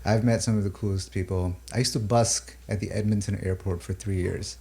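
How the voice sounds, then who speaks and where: Man, low flat voice, gravelly, reflective musician, halting with fillers, native urdu speaker. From Pakistan and the UAE. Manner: thoughtful, halting, many fillers and restarts. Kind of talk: reflective interview talk.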